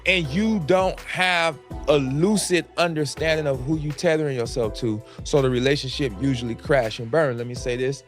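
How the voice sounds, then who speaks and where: Blunt man with a higher voice. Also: normal speed, hardly any pauses, faint music behind. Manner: blunt, sweeping claims, repeats for emphasis. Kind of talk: podcast discussion.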